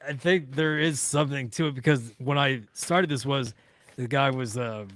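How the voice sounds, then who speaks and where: A man chats casually as a co-host, at an average pitch. He wanders through his points and qualifies them as he goes. The sound is dry and close, as in a studio. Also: steady tone, moderate pace, fairly bright tone, no echo. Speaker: talk show co-host.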